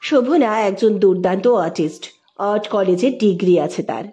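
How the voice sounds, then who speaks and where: A woman's voice: mid-range voice, moderate pace, dark tone. A woman reading literary fiction aloud as a scripted, dramatic audio story narration.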